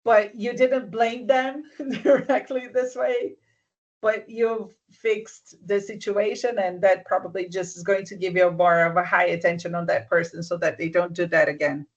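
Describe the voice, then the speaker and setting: A business mentor giving soft-spoken advice in an online session, often restarting sentences and checking that the listener follows. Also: mid-range voice, steady tone, normal speed, lo-fi sound.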